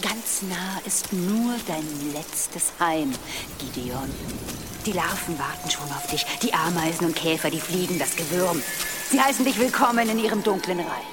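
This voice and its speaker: Actress as a low, eerie, vengeful woman. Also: expressive, moderate pace, music in the background, close to an everyday voice. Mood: ominous. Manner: ominous, taunting. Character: eerie accusing woman, vengeful, taunting, haunting presence. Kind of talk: radio drama acting.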